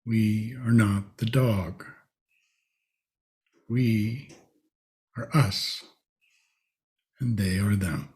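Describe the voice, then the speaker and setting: Guy doing a guided meditation, really slow, lowish voice, long pauses between bits. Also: a little mellow.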